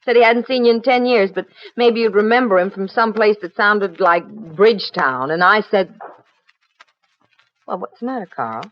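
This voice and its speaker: A woman playing a chatty, easily nettled housewife, conversational and expressive, in 1950s radio drama style. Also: medium pitch, normal speed, close to an everyday voice. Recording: dull old radio broadcast recording.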